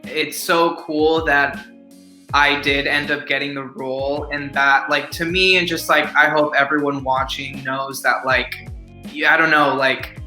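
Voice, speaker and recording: A man's voice: fairly high pitch, level tone, unhurried, bright, forceful tone, soft background music. A young adult man chatting eagerly as a guest actor in a casual interview, with lots of "like" in his speech. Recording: echoey room.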